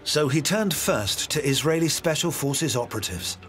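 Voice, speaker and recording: A man narrating a documentary in a measured, scripted way. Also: on the high side, soft background music. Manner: measured, even storytelling.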